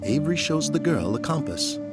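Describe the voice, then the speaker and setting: A man's voice: on the high side, sing-song, normal speed, loud music behind the voice. A man reading scripted dramatic narration for an audio drama in a descriptive storytelling manner.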